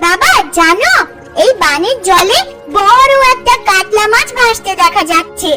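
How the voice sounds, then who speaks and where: A woman playing a wide-eyed, excited village child in a cartoon fairy tale, full of exclamations. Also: extremely high pitch, a little slow, music in the background. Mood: excited wonder.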